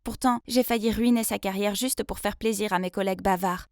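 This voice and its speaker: A woman voicing an earnest young female office intern who tells her love story in an expressive, confiding way. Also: mid-range voice, brisk, no room sound, studio quality, close to an everyday voice. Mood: relieved, confiding. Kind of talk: dramatised first-person story narration.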